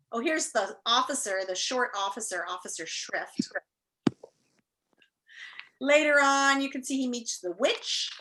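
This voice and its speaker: A woman's voice: a little high, pushed and bright, slight background noise, poor audio. A woman teacher talking and reading aloud to an online class, enthusiastic and encouraging. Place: indoors, on a video call.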